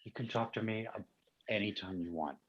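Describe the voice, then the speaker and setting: A man acting a concerned but unbending school official, speaking in a quiet, measured way in a stage play reading. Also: fairly low pitch, lo-fi sound, close to an everyday voice. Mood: gentle concern.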